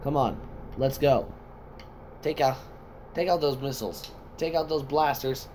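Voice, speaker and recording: A man voicing an excitable soldier commander in amateur sci-fi video-game voice lines, giving urgent, halting battle callouts. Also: mid-range voice, a little hiss or hum, close to an everyday voice. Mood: brisk, urgent.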